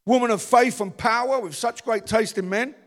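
A man's voice: very high-pitched, normal speed, a bit bright. A man from the East End of London with a Cockney accent, preaching a rousing sermon as pastor and calling on the congregation to respond. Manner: rousing, calls for audience response. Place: church. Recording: long church reverb.

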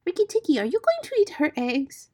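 Storybook songbird, played by a woman: small silly male, very high, swooping sing-song, loud and grandiose. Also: wildly swooping pitch, a little fast. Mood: flattering, airy. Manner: grandiose, exclamatory. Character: vain feather-brained male songbird, triumphantly singing praises.

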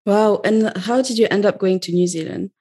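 A woman's voice: mid-range voice, normal speed, mellow, slightly rough. A woman hosting a podcast interview in a casual, informal, chatty conversational way. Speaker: podcast host.

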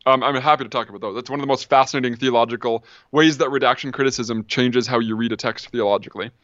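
A man's voice: medium pitch, fairly even intonation, fast, fairly bright tone, no room sound, lo-fi sound. A man, an ancient historian, talking as a podcast guest, thinking aloud with lots of ums and restarts. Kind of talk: casual academic discussion.

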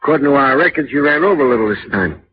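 An actor playing a chatty, helpful, casual gas-station attendant in a 1940s radio drama. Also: male voice, medium pitch, animated, racing, natural voice. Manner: folksy, casual. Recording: muffled vintage radio, faint noise.

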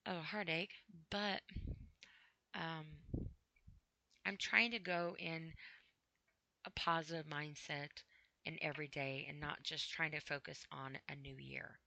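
A tired woman podcasting alone, rambling in a halting, apologetic way with many fillers after crying earlier. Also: low-pitched, level tone, vocal fry, pushed and bright, no room sound. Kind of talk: casual rambling monologue. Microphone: computer mic with headphones on.

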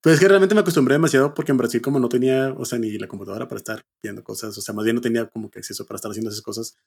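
Male co-host, Mexican, husky mid-range voice, quick casual chatter with repeated phrases. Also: medium pitch, darkish tone. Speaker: podcast co-host. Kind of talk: casual chat.

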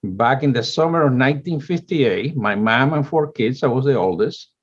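A man's voice: mid-range voice, animated, normal speed, slightly rough. A man in his seventies, born in Cuba, speaking English as an interview guest, telling his life story in a rambling way with many restarts. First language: Spanish. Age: 70s. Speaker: guest, company chief executive. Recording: dull, lo-fi audio.

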